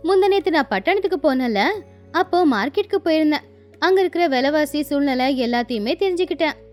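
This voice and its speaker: A woman's voice: high voice, animated, fast, a little mellow, faint music behind. A woman acting a shrewd young village wife in a moral story cartoon, coaxing and advising with confidence. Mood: persuasive. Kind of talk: acted dialogue in a Tamil moral story cartoon.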